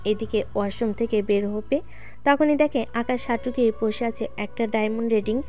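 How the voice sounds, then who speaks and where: A woman's voice: a little high, brisk, mellow, lo-fi sound. A woman narrating a story, chatting directly to her listeners with asides. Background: faint background music.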